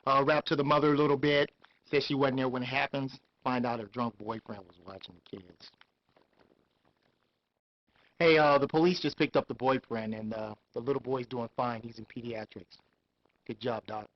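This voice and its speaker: A matter-of-fact male hospital worker, played by an actor, briefing a doctor informally. Middle-pitched voice, dry and slightly dull game-video recording. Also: fairly even intonation, fairly quick, no room sound, close to an everyday voice. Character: matter-of-fact hospital colleague reporting cases. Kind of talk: live-action game acting.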